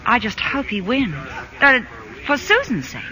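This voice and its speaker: A woman's voice: on the high side, huge pitch swings, unhurried, sharp tone, a little hiss or hum, heavily acted, poor audio. An actress playing a proper, dignified woman with a precise, formal manner in a 1940s radio comedy. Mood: concerned. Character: proper, dignified woman, earnest and coolly sharp. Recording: old radio broadcast recording.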